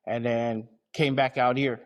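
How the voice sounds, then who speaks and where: A man's voice: mid-range voice, level tone, measured pace, lo-fi sound. A man from American Samoa and Southern California, an athlete being interviewed, talking casually and colloquially with frequent check-in phrases. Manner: colloquial, frequent "you know what I mean". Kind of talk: casual conversation.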